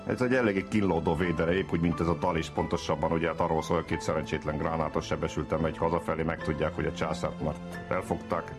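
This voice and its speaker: Male guest singer, extremely low voice, reflective introduction, music behind. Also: level tone, few pauses. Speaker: singer and chess player guest. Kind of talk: reflective spoken introduction to a song.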